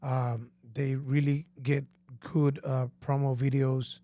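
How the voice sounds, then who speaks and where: A man with a Costa Rican Spanish accent, co-hosting a casual podcast chat in a relaxed way. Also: medium pitch, slow, dry recording. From Costa Rica. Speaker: podcast co-host.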